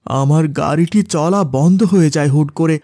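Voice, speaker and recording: A man's voice: a little high, normal speed, warm tone, dry recording, clean studio sound, lightly acted. A man playing an anxious, haunted village van driver in a horror cartoon, stammering when frightened. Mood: subdued, shaken. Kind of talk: dramatic horror cartoon acting.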